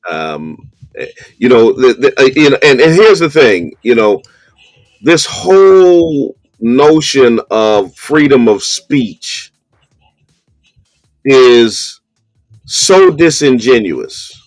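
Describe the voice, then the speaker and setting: A man hosting a call-in radio show, talking in a measured way with many pauses and ums. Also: medium pitch, big pitch swings, no room sound, faint music behind. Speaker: radio talk show host.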